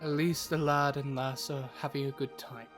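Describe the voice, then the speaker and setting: A man's voice: medium pitch, level tone, normal speed, a bit bright, close to an everyday voice. A man playing a jovial, teasing adventurer who also narrates in a fantasy audio roleplay. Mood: calm narration. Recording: music behind the voice.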